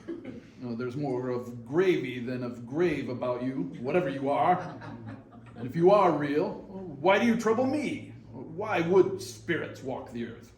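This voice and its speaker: A man playing a skeptical, uneasy old miser in a Victorian readers theater ghost story, wry and hesitant. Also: fairly high pitch. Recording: echoey room, faint noise.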